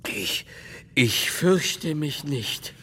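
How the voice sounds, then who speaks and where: An actor playing a solemn, wary, devout man in a radio drama, speaking formally and deliberately, with halting phrases. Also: on the high side, expressive, sharp tone, husky, exaggerated voice.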